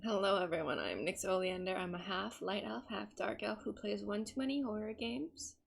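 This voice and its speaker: A woman's voice: mid-range voice, normal speed, gravelly. A woman co-hosting a casual podcast, sleep-deprived and laid-back in how she talks. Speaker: podcast co-host.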